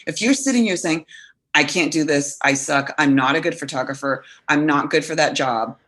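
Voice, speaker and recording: A woman's voice: low voice, moderate pace, a bit bright. A woman in her thirties, a guest coach talking earnestly and animatedly in a casual podcast conversation, full of fillers.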